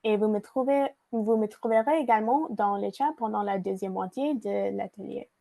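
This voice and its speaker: Mellow-voiced woman leading an online workshop. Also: mid-range voice, moderate pace, creaky. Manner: frequent hesitations and restarts, reading from notes. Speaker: workshop facilitator. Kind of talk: hesitant virtual workshop presentation. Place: online video call.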